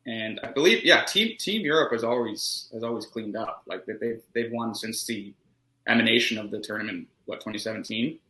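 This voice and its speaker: Bright-voiced joking man in an echoey room. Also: lowish voice, brisk. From Canada. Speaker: sports show co-host. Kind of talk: casual sports banter.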